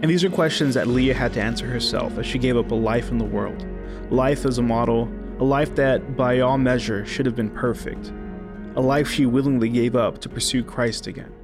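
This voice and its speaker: A man giving scripted documentary narration in a measured, explanatory way. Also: medium pitch. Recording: music bed under voice.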